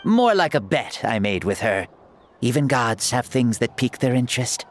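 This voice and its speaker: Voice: medium pitch, big pitch swings, moderate pace, slight background noise, lightly acted. An actor playing an ancient hero who inhabits a young boy's body in a video game, theatrical, jaunty and self-assured. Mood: solemn, storytelling.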